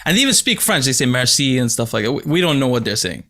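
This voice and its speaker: A man's voice: medium pitch, very animated, fast talker, fairly bright tone. A man with a slight Arabic accent, his first language Arabic, hosting a casual podcast chat over a video call, explaining at length in a chatty way. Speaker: podcast host.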